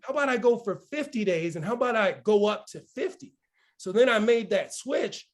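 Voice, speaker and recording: A man's voice: sits high, a little fast. A man around fifty hosting a morning motivational session, full of energy and often checking in with "right?". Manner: energetic, keeps asking "right?". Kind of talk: motivational talk.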